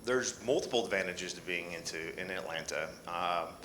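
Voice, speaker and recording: Bright-voiced man on an echoey panel. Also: low voice, normal speed, slight background noise. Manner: hesitant, many fillers and restarts. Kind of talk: panel discussion answer.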